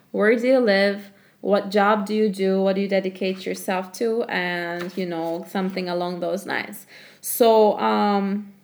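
A woman giving a relaxed, chatty language lesson, with many fillers, who repeats example phrases slowly. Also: medium pitch. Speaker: language teacher. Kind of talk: casual spoken lesson.

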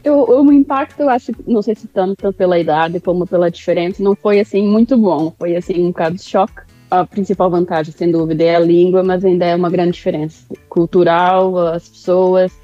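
A woman in her thirties, Brazilian-born, whose accent carries European Portuguese touches. She talks in a mellow, reflective way, pausing and restarting often. Her audio is dry and slightly dull, like a remote call line. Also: on the low side, no room sound, faint music behind. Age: mid-30s. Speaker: guest. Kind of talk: remote interview.

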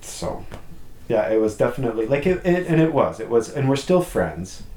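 A man's voice: mid-range voice, sing-song, normal speed, mellow, roomy sound, slight background noise. A man speaking candidly as a podcast guest about his past relationship, reflective and open, often ending his points with a questioning "right?". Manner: open, reflective, many restarts and "right?" tags. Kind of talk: candid conversational chat.

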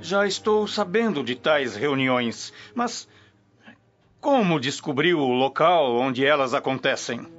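Slow-speaking Brazilian Roman emperor. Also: male voice, mid-range voice, animated, a bit bright. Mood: composed, curious. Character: composed male Roman emperor in private audience. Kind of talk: radio soap acting in Portuguese. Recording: muffled radio drama with music underneath.

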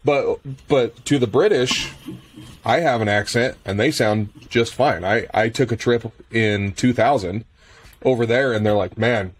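Slow-talking Midwestern American man host. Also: medium pitch. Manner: slow, hesitant, repeats words, chuckles. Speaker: podcast host. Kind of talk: podcast interview.

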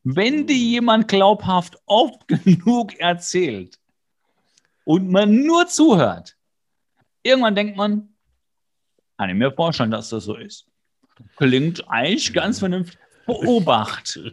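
A man's voice: high-pitched, sing-song, takes it slowly, long pauses. A man co-hosting a podcast, retelling a TV episode in casual chat with playful, mock-serious asides. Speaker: podcast co-host.

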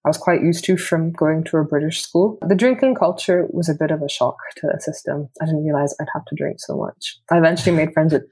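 Husky young woman from Hong Kong. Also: sits low, brisk, hardly any pauses, dark tone, low-quality recording. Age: young adult. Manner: chatty, many "like" fillers. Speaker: interviewee. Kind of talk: casual interview.

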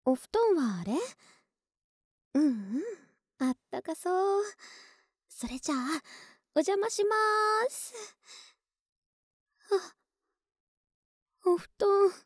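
Young neighbor woman, played by an actress, ASMR audio drama: cutesy, intimate, coaxing, unhurried delivery in a dry recording. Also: extremely high pitch, animated, dry recording, heavily acted. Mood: playful, coaxing. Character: friendly, clingy young neighbor woman, older-sister type. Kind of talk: Japanese ASMR situation voice drama acting.

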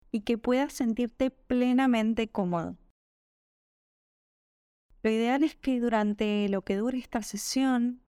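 A woman with a Rioplatense Spanish accent leads a guided meditation at a very slow pace. Her voice is fairly high and very smooth. She gives each instruction gently and calmly. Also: no echo, clean studio sound. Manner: gentle, calming, unhurried instruction. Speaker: yoga nidra meditation guide.

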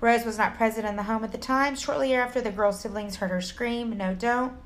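A woman's voice: on the high side, fairly quick. Informal American woman telling a true crime story. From Texas, United States. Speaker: true crime podcast co-host. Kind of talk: reading a scripted story with casual asides.

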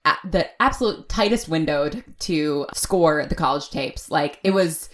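A woman with a low voice speaks as a podcast guest. She is casual and thoughtful, picking through her ideas haltingly. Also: lively intonation, fairly bright tone. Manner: halting, thoughtful, frequent "like" and "sort of". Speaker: audio drama writer, podcast guest. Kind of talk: casual interview conversation.

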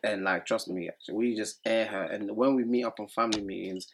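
A man co-hosting a podcast in casual chat, animated and acting out his relatives' voices, English not his first language. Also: lowish voice, brisk, background noise. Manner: animated, acts out relatives' voices mid-story. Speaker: podcast co-host.

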